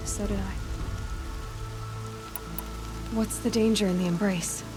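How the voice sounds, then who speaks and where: A woman plays a young outcast huntress in a fantasy game. Her voice is medium-pitched, direct and resolute. Music is clearly audible behind her. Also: fairly even intonation, a little fast, close to an everyday voice. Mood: somber. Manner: direct, firm, guarded. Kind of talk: video game cutscene acting.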